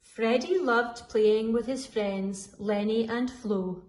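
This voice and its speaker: A woman reading a children's story aloud expressively, deliberately drawing out the dramatic sound words. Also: fairly high pitch, fairly even intonation, a little mellow, slight background noise. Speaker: school storyteller.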